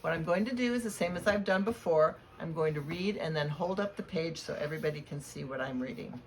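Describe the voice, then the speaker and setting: Female children's read-aloud reader, lower register, expressive and deliberate, with audible ambient noise. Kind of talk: storybook read-aloud with spoken introduction. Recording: clear background noise.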